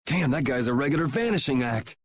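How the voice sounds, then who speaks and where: A man's voice: mid-range voice, lively intonation, brisk, husky. A man playing a cool, blunt young swordsman and leader in a video game, with a dry, sardonic manner. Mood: defiant. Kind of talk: video game dub acting.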